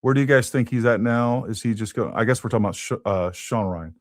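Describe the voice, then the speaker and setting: A man's voice: mid-range voice, quick pace, no echo, studio quality. A man hosting a casual political talk show, thinking aloud and repeating words as he goes. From Texas. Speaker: podcast host.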